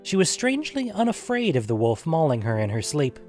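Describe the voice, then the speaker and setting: A man narrating a scripted fantasy story in a measured, deliberate, dramatic way. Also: a little high, huge pitch swings, darkish tone, faint music behind. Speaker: narrator and host of an audio fiction show.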